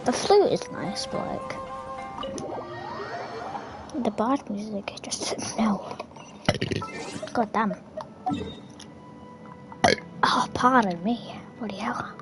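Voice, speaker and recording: A woman's voice: fairly high pitch, wildly swooping pitch, takes it slowly, pauses often, low-quality recording. A woman chatting casually as she plays a video game, rambling and muttering asides that slip into whispers. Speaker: gamer narrating her own gameplay. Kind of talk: casual gameplay chat. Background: video game music.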